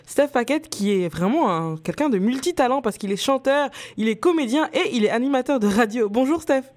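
A woman with a moderately high-pitched voice hosts a radio interview. She speaks conversationally as she introduces and questions her guest. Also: animated, brisk, a little mellow.

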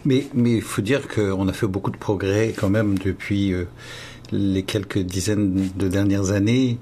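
A man's voice: fairly low pitch, fairly even intonation, a little slow, warm tone, a little hiss or hum. A man interviewing a guest conversationally, in a hesitant way that often repeats words. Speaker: interviewer, host.

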